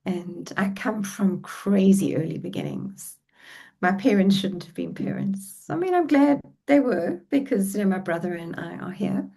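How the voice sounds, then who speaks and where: A woman's voice: mid-range voice, creaky, mellow, breathy edge. A middle-aged woman with a South African accent, telling her life story as a guest on a podcast in a reflective, heartfelt way. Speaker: relationship coach guest. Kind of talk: podcast interview.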